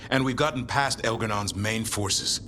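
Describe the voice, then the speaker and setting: A caring, confident fantasy game warrior acted by a man, plain and clipped in speech. His voice sits lowish, with clear ambience behind it. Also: normal speed, background noise, natural voice. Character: gruff-but-caring male warrior comrade, confident hunter.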